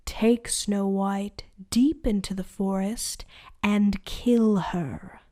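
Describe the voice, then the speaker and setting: A woman's voice: mid-range voice, fairly even intonation, drawn-out words, warm tone. A woman doing a cold, jealous queen. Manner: cold and commanding. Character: jealous, cruel queen with magic powers.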